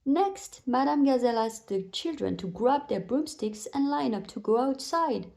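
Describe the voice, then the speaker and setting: A woman reads a children's bedtime story as narrator. Her voice is fairly high yet soft and mellow. She tells it expressively, as if to young children. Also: expressive, moderate pace, creaky.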